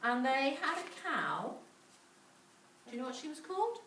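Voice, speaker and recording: A woman's voice: sits high, big pitch swings, normal speed, pushed and bright. A woman telling a children's story interactively and dramatically, recorded at a distance in a roomy space with faint noise. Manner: dramatic, with repetition and questions to listeners.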